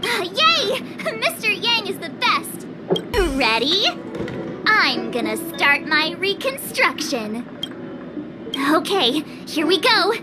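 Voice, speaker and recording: A woman's voice: extremely high pitch, big pitch swings, slow, loud music behind the voice, heavily acted. A woman playing a cheerful, overconfident young amateur detective in a video game, playful and dramatic. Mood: excited. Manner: playful, self-assured, dramatic.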